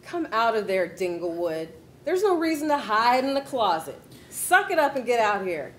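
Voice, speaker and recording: Actress as brash bossy lady boss scolding and mocking, high swoopy voice, some background noise, comedy table read. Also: big pitch swings, normal speed, a bit bright. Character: bossy, sharp-tongued, mocking woman boss at mission control. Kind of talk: comic screenplay table read.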